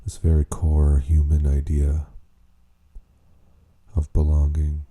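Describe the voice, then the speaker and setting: A man leading a guided meditation, speaking in an unhurried, gently questioning way with long pauses. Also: extremely low pitch, monotone, slightly rough. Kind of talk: slow spoken guided meditation.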